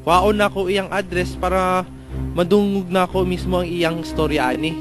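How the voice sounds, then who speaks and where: Radio drama: authoritative male detective played by an actor, Filipino accent, high voice, pressing and stern, music underneath. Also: steady tone, normal speed, lightly acted. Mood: businesslike, probing. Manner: insistent, questioning, authoritative. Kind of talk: melodramatic radio drama acting.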